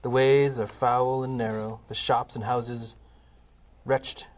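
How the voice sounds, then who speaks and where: Guy reading stage directions, steady plain voice, bit of hiss behind. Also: medium pitch, takes it slowly. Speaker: narrator.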